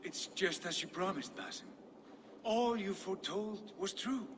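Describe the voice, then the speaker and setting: An actor playing a fervent Norse warrior lord entranced by visions, speaking slowly and portentously in a game cutscene. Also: male voice, high-pitched. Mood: awed, entranced. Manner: slow, portentous, dramatic. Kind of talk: video game cutscene acting. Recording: long hall reverb with background noise.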